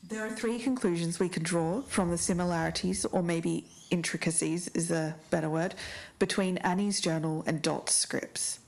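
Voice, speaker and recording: An Australian woman playing an intense, deliberate podcast investigator in a scripted audio drama. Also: on the low side, steady tone, creaky, a little mellow. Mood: measured, serious. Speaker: podcast co-host character. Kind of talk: scripted audio fiction narration.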